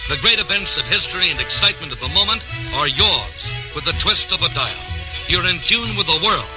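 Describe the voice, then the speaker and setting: A man's voice: a little high, rough recording. An American man narrating a scripted 1950s promotional documentary in a formal, dramatic announcer style. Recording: old vinyl record with music bed.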